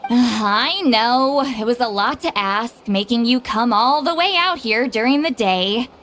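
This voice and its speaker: High-voiced, self-assured young shaman. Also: expressive, a little slow, a bit bright, slight background noise. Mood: smug, composed. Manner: composed, dry, self-assured. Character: confident, aloof young female shaman. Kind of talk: anime-style video game voice acting. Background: faint game music.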